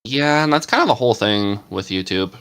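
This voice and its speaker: Man, lowish voice, relaxed panel talk, quick to agree. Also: clean tone. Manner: easygoing, quick to agree, chatty. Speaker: podcast panelist, ASMR script writer. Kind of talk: casual chat.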